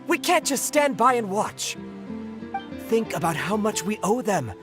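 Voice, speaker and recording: An excitable townswoman with a mid-pitched, very animated voice. She is earnest in the manner of a game bystander, and music is clearly heard behind her. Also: unhurried. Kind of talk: game voice acting.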